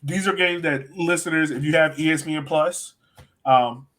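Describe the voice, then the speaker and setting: Higher-pitched man chatting casually about sports. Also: normal speed, a bit bright. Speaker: soccer podcast host.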